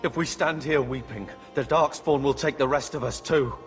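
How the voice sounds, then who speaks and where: An actor playing a grim young soldier in a fantasy video game, blunt and urgent. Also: male voice, medium pitch, normal speed, faint music behind, natural voice. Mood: agitated.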